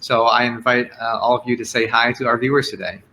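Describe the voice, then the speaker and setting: A man's voice: mid-range voice, little pitch movement, fairly quick, pushed and bright, a little hiss or hum, rough recording. A man from Hong Kong hosting a live panel discussion, introducing guests with many fillers and repeated words. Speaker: host, moderator.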